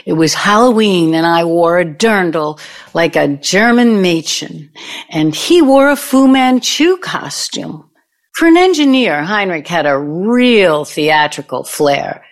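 A woman's voice: lowish voice, animated, takes it slowly. A woman playing a brash, nostalgic, scheming older former girlfriend in an audio play, with a knowing, theatrical manner. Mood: nostalgic, insinuating.

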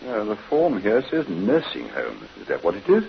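An officious, sceptical official. His low voice swings widely as he questions brusquely. It is a muffled vintage radio drama recording. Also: wildly swooping pitch, normal speed, gravelly, slight background noise, full character voice. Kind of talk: 1950s radio drama acting.

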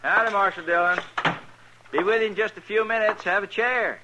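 An actor playing a chatty, excitable small-town Western barber in a radio drama. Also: male voice, high-pitched, a little fast, highs cut off, natural voice. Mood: chatty, obliging. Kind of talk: 1950s radio western acting. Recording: noisy old radio recording.

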